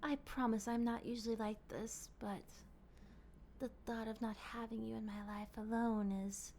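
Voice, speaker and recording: A woman playing a possessive, lovestruck vampire in an ASMR roleplay, speaking in formal, ornate, pleading phrases that often slip into breathy whispers. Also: fairly high pitch, level tone, normal speed, mellow, a little hiss or hum, natural voice. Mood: apologetic, smitten. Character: obsessive, courtly, possessive female vampire in love.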